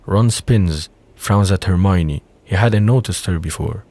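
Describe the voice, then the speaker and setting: A man with a notably deep voice serves as narrator of an audio drama. He reads scripted scene descriptions and stage directions between the dialogue. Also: unhurried, a little mellow.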